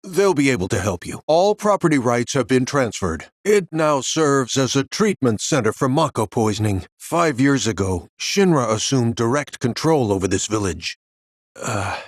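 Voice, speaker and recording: Male actor as corporate employee, uneasy, courteous, medium pitch, animated intonation, video game dialogue. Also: moderate pace, no echo, studio quality, natural voice. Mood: polite, formal. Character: polite, uneasy company employee, formal and guarded.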